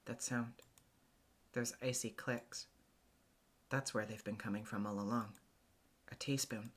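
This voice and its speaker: A man reads first-person visual novel narration as a voice actor. His voice sits at a middle pitch, and his delivery is introspective and deliberate, with a hesitant edge. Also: fairly bright tone.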